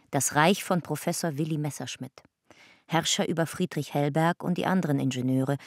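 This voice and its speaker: A woman's voice: low voice, darkish tone, dry recording, studio quality, close to an everyday voice. A woman narrating a radio drama in documentary style, sober, clipped and matter-of-fact.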